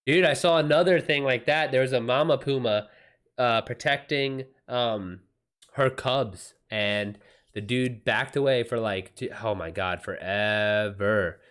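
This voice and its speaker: A man chats casually as a live streamer. His pitch sits in an ordinary middle range, and he talks in an informal, slangy way with animated reactions. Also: unhurried. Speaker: live streamer and author answering viewer questions. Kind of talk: casual livestream chat.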